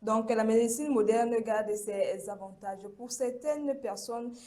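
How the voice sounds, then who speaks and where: A woman's voice: fairly high pitch, a little slow, mellow. Woman host steering a campus debate. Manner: steers the debate with questions, fillers. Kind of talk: talk show moderation.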